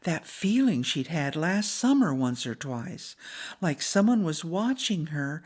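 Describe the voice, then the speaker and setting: A woman reading a scripted children's story as a storybook narrator, in an expressive manner. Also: low voice, slow.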